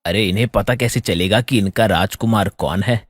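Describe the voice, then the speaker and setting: A man's voice: lowish voice, normal speed, no echo, clean studio sound, big-room sound, natural voice. A man playing a young, courteous, slightly flustered prince in a fairy tale, polite and hesitant. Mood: curious. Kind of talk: Hindi fairy tale audio acting.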